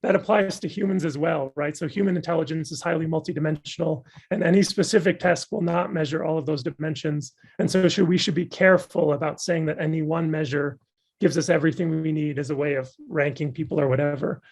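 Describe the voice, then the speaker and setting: A man's voice: on the high side, fairly even intonation, a little fast. A man losing his voice, thoughtfully and enthusiastically answering audience questions after giving a talk. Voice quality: gravelly and husky. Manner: thoughtful, enthusiastic academic explaining. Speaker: guest speaker.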